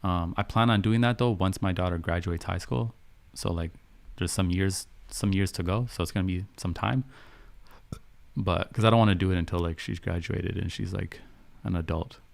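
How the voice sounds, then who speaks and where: A man in his thirties with a low voice and a gravelly, creaky edge. He talks casually as a live stream host, affirming his points by repeating them and checking that people follow. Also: moderate pace, dry recording. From Canada, grew up in Vancouver. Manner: affirming, repeats phrases, punctuates with "you understand?". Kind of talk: casual live stream chat answering viewer messages.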